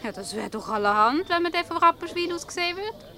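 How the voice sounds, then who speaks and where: Bright, teasing Swiss German woman. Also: very high voice, animated, a little fast, heavily acted. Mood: chatty. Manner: playful, ironic. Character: quick-witted, teasing married woman, playing along coolly. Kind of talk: Swiss radio comedy acting.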